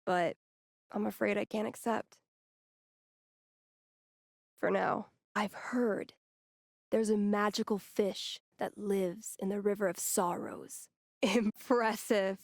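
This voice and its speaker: Woman playing a slow, haughty fantasy merchant. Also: mid-range voice, steady tone, vocal fry, no echo, clean studio sound. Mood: mysterious, aloof. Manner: formal, pompous, measured. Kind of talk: theatrical live role-play in a video game.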